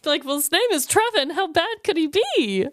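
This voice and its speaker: A woman's voice: extremely high pitch, big pitch swings, moderate pace, a bit bright, no room sound, clean studio sound. A woman co-hosting a podcast in casual, jokey, informal conversation, telling stories for comedy. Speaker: podcast co-host. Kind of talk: casual comedic storytelling chat.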